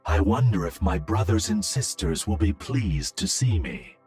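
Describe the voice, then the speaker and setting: Casting: serene robotic mentor monk, very husky and breathy, unhurried, lightly filtered, game dialogue. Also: male voice, on the low side, expressive, faint music behind, full character voice. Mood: reflective. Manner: measured, contemplative, gently formal.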